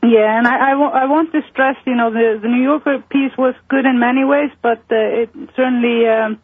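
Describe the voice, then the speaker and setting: A woman from Iceland, a guest in a phone-in interview, speaking haltingly and searching for her words. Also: a little high, steady tone, husky, no echo. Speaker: guest, member of parliament. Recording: tinny phone line.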